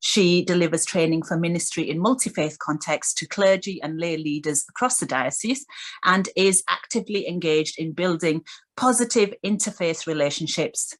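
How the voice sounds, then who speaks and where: A woman's voice: fairly low pitch, moderate pace. A woman hosting a radio show, introducing guests and putting questions to them. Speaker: radio host.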